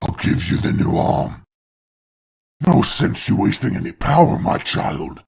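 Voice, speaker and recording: A man voicing a grandiose, taunting demon lord in a comic dub, with a gravelly, theatrical delivery. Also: on the low side, sing-song, unhurried, a little mellow, full character voice. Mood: gloating, lecturing. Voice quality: gravelly, creaky. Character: grandiose demon lord, menacing mocking father of hellspawn.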